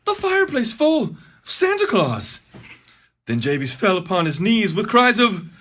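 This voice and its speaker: A man's voice: high voice, wildly swooping pitch, moderate pace, pushed and bright. A man narrating a rhyming storybook poem in a dramatic, theatrical manner. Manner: dramatic, swooping delivery.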